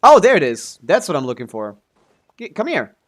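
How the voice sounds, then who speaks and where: A man's voice: sits high, wildly swooping pitch, moderate pace. A man streaming a video game, chatting casually and thinking aloud in a hesitant, trailing way. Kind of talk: casual livestream gaming commentary and chat.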